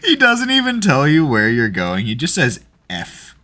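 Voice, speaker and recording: A man's voice: a little high, wildly swooping pitch, unhurried, sharp tone. A man streaming a building game, chatting casually and cracking crude jokes as he builds. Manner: crude joking banter while building. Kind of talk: casual gaming stream chat.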